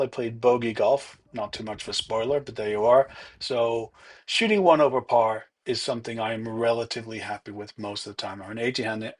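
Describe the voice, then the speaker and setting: A man narrating his own golf round in a relaxed, chatty, self-deprecating way. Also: on the low side. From not from Ireland. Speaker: golf vlogger. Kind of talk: casual voice-over commentary.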